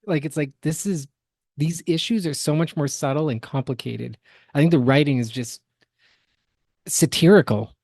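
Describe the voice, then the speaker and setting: A man's voice: on the high side, moderate pace, a little mellow, no room sound. Analytical man giving dry-room podcast commentary. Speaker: podcast co-host reacting to game cutscenes. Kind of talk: casual critical commentary.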